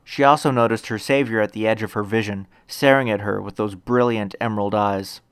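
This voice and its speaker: A man's voice: on the low side, fairly even intonation, a little fast, clear, resonant tone, dry recording. Man narrating a story, dry close sound.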